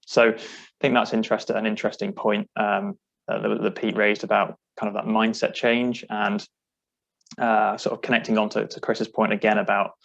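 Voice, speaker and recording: A man's voice: lowish voice, vocal fry. A man from the UK with a British accent, speaking as a panelist in a discussion, hesitant with many restarts. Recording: dull lo-fi audio.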